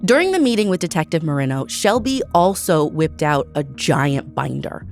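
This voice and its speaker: A woman's voice: sits low, very animated, normal speed, soft background music. An American woman hosting a true crime podcast, reading a scripted narration of a case.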